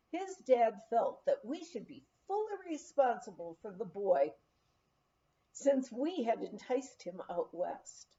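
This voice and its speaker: Expressive, unhurried woman reading a memoir. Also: mid-range voice, lo-fi sound. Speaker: audiobook reader.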